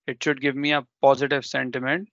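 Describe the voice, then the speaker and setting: A man's voice: mid-range voice, flat delivery, a little fast, fairly bright tone, no room sound, highs cut off. A man whose first language is Urdu, presenting a live technical demo and explaining step by step with ums and self-corrections.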